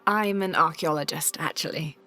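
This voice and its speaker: An actress playing a poised, kind and determined young woman archaeologist in a video game, speaking calmly and politely. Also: deep voice, moderate pace, soft background music. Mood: warm, friendly. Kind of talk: video game cutscene acting.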